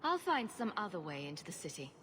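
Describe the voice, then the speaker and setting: An actress playing a guarded young refugee mage in a video game, with a measured, questioning way of talking. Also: female voice, fairly low pitch, very animated, pushed and bright, long reverb tail, a little hiss or hum. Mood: wary. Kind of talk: video game dialogue acting. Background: music.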